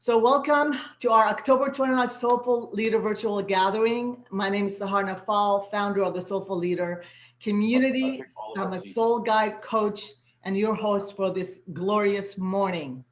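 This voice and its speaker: Host: native Arabic-speaking woman, soul guide and coach, gentle and affirming; echoey video-call sound. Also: mid-range voice, normal speed. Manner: gentle, affirming, repeats words for emphasis. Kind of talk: inspirational welcome to an online gathering.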